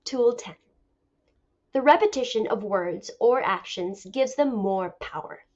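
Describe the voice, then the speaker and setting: A woman teacher reading a picture book aloud as a lesson, with deliberate, expressive storytelling. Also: medium pitch. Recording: dull lo-fi recording.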